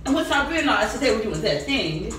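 Young woman, candid storyteller with loud outbursts, recorded far off in an echoey room. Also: a little high, very animated, fairly quick, soft background music. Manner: candid storytelling, bursts of shouting. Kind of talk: casual party game chat. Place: small apartment dining room. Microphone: far camera.

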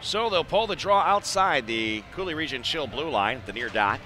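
A man's voice: mid-range voice, expressive, moderate pace, pushed and bright. An American man calling live ice hockey play-by-play in a conversational way, with short clipped phrases. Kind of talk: live sports commentary. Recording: live arena broadcast with clear background noise.

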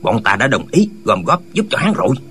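A man's voice: medium pitch, very animated, fairly quick, a bit bright, a little hiss or hum. A man playing a boastful, quarrelsome, clownish martial arts eccentric in an audiobook drama, blustering and argumentative. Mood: pleading, explaining.

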